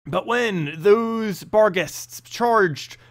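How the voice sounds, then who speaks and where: A man voicing a gruff, weary, sarcastic older knight general in a fantasy story, curt in manner. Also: sits high, extremely slow, pitched above the performer's own voice, exaggerated voice. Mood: weary, exasperated. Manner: curt, sardonic.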